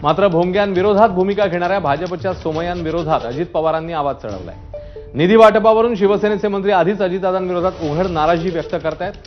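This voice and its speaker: Guy anchoring tv news, dramatic, talks fast, music bed under a slightly dull sound. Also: high-pitched. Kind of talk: scripted TV news narration.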